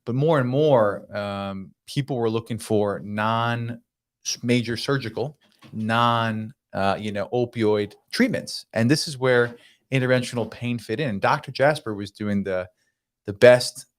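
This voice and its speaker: A man's voice: medium pitch, a little slow. A man in his thirties whose first language is Croatian, a pain doctor chatting as a podcast guest, enthusiastic with many fillers and restarts. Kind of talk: casual podcast conversation.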